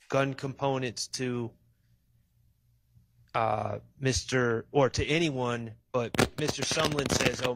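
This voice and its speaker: A man speaking as a defense attorney to a judge in court, measured and hesitant with frequent restarts. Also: mid-range voice, fairly bright tone.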